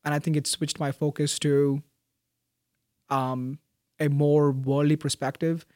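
Young man, student interviewee, higher-pitched, thoughtful with many fillers, dry close sound. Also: little pitch movement, a little slow, no echo. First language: Malayalam. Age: young adult. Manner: thoughtful, soft-spoken with fillers and restarts. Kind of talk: casual interview answers.

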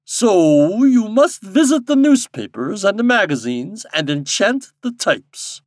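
Fairy king played by a man for a storybook: commanding, wise, stern, high-pitched, very animated. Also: takes it slowly, no room sound, clean studio sound, heavily acted. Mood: instructive, assured.

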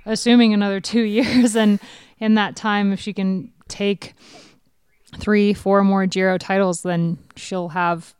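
Crisp, enthusiastic woman podcaster. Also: medium pitch, little pitch movement, measured pace, a little mellow, no room sound, studio quality. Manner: enthusiastic, chatty, lots of "like". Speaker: podcast co-host. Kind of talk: casual cycling chat.

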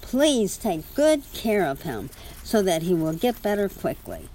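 A woman's voice: mid-range voice, big pitch swings, unhurried, dark tone, slight background noise. A woman reading the part of a caring man, a monkey's friend in a children's story, speaking earnestly and politely.

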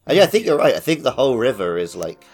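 A man's voice: medium pitch, very animated, quick pace, over music. A man co-hosting a gaming podcast, bantering casually in a playful, jokey way. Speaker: podcast co-host.

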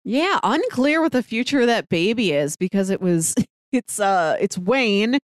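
A woman's voice: medium pitch, lively intonation, measured pace, no echo, clean studio sound. A woman co-hosting a podcast, talking through a TV story in a casual, conversational way. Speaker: podcast co-host. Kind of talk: casual TV discussion chat.

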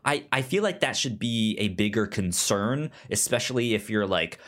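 A man co-hosting a movie podcast in casual conversation, halting and repeating words as he works out a point. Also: lowish voice, lively intonation, a bit bright. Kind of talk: casual film discussion.

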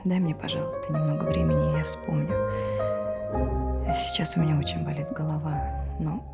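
A frightened, whispering wife. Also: female voice, low voice, sing-song, gravelly, loud music behind the voice, exaggerated voice. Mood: pleading. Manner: halting, often whispering, searches for words. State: has a headache. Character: torn, frightened wife, confused and hesitant. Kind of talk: stage play performance.

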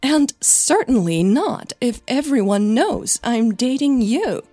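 A woman's voice: fairly high pitch, sing-song, slow, mellow, no room sound, faint music behind, voice pushed up, heavily acted. A woman voicing a bitter, defensive young actress in an audio drama quarrel, emotional and clipped, with a flu-affected voice. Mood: bitter, upset.

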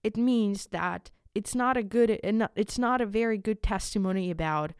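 A young woman from Ukraine, a native Ukrainian speaker with a Ukrainian accent, talks earnestly and a bit haltingly in an informal solo podcast, repeating words for emphasis. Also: mid-range voice, darkish tone, clean tone, dry recording, clean studio sound. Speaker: podcast host.

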